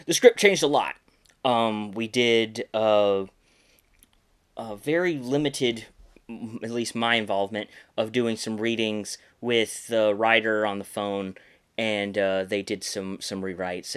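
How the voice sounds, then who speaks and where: A man in his thirties hosting a podcast, telling behind-the-scenes stories casually in a hesitant, self-deprecating way. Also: lowish voice. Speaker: podcast host and puppeteer.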